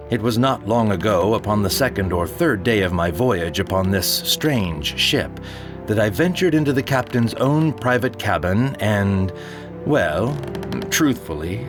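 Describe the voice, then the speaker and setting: Male narrator, mid pitch, solemn measured storytelling, clear music bed under the voice. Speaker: narrator of an audio drama. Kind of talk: one-man dramatic reading of a period tale.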